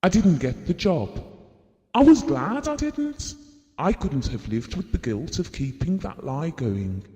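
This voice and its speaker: Earnest British husband, soft mellow tone. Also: male voice, on the high side, sing-song, a little slow. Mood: ashamed, confessional. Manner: earnest, confessional. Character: earnest, devoted, guilt-prone middle-aged husband and father. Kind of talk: audio drama monologue, reminiscing. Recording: reverberant hall-like room.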